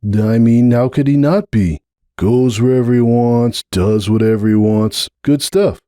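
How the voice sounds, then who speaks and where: A man's voice: medium pitch, unhurried, mellow, no room sound, clean studio sound, pitched above the performer's own voice, natural voice. A man voicing a brash but uneasy young male pony, casual, questioning and a little awkward, in a dramatic story reading. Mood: insistent, uneasy. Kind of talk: dramatic audiobook character reading.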